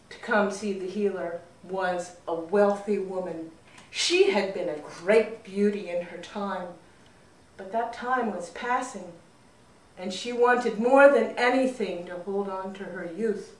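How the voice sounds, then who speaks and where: Slow, dramatic woman storyteller in echoey room. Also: mid-range voice. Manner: deliberate, dramatic phrasing. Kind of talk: expressive folk tale narration. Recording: roomy echo, distant mic.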